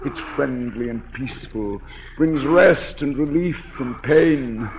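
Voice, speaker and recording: A man's voice: mid-range voice, creaky, highs cut off, background noise. Tormented masked madman, echoing voice. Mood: brooding, eerily tender. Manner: theatrical, slow, brooding speech that swells into frenzy. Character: mad disfigured masked composer, tormented, obsessively tender, hiding underground. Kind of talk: 1940s radio drama acting. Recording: echoey 1940s radio broadcast with music.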